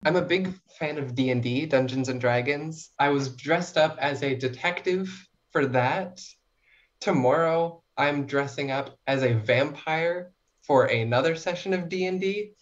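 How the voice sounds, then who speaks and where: A woman's voice: sits low, measured pace, highs cut off. A young woman talking casually as a podcast guest, in a chatty, informal and self-reflective way. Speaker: podcast guest, short story writer. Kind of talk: casual interview chat.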